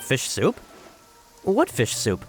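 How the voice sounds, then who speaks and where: A man's voice: medium pitch, wildly swooping pitch, takes it slowly, soft background music. A man playing an earnest, anxious, deferential young subordinate in a video game, flustered and emphatic. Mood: flustered, insistent.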